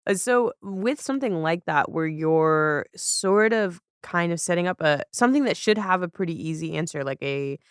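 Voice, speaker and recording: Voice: medium pitch, normal speed, few pauses, smooth, dry recording, studio quality. A podcast host interviewing in a casual, conversational way, with agreeable, rambling phrasing.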